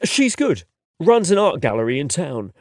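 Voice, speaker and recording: A man's voice: a little high, sing-song, moderate pace, darkish tone, no echo, clean studio sound, pitched above the performer's own voice. A man putting on a wry young Londoner. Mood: friendly. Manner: wry, friendly. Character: young British man, cheeky, easygoing.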